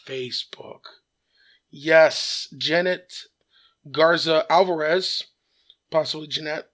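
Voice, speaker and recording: A man with a raspy voice hosting his own news commentary show, earnest and measured, with frequent "uh" fillers. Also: on the high side.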